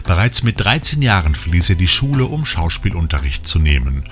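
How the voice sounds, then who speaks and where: A man's voice: deep voice, sing-song, brisk, a bit bright. A man presenting scripted film and release news as a podcast host, with wry asides addressed to the listeners. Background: music under the voice.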